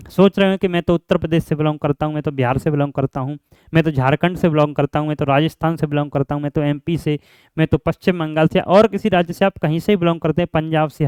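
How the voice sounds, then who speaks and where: High-pitched man teaching. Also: steady tone, fast, darkish tone, clean tone, no room sound, studio quality. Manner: repetitive, asks questions and answers them himself, frequent check-in tags. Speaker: exam coaching teacher and channel host. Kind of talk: informal explainer lesson for job aspirants.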